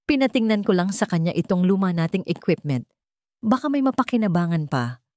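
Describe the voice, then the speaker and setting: A woman with a Filipino accent voicing a calm, serious man with hidden bitterness in an audio drama. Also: on the low side, fairly quick, no echo, clean studio sound, natural voice.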